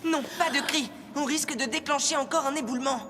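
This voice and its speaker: A woman playing a level-headed, earnest young boy hero in a French animated series. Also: high voice, fast talker, noisy background, lightly acted. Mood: calm, concerned. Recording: music behind the voice.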